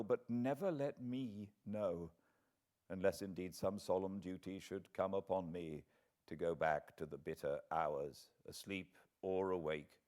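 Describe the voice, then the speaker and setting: A man voicing a solemn, shaken Victorian fiancé in an audiobook, halting and deadly earnest. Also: low-pitched, a little mellow, pitched below the performer's own voice.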